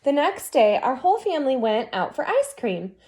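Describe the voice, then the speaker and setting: A woman reading a children's picture book aloud expressively, as a teacher who pauses to put questions to young listeners. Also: high voice, sing-song, a little mellow, slight background noise.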